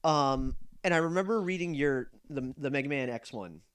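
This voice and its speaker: A man's voice: mid-range voice, moderate pace, fairly bright tone, clear, resonant tone. A young man co-hosting a casual podcast, joking and teasing his friend, often trailing off and restarting. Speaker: podcast co-host. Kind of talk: casual rambling chat.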